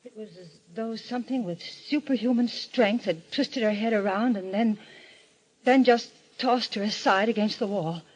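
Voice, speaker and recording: A woman's voice: fairly high pitch, normal speed, a little mellow, breathy edge, highs cut off. A woman playing a frightened young woman in a radio mystery, stammering and breathless as she speaks with melodramatic dread. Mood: haunted, insistent. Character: terrified young woman recounting a supernatural murder. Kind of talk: old-time radio mystery acting.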